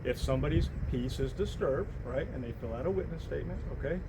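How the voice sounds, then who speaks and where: A man's voice: on the high side, fast talker, over a noisy crowd. A male police sergeant explaining the law informally and patiently, often checking that the listener follows. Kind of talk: explaining the law in casual back-and-forth. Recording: noisy outdoor recording with traffic.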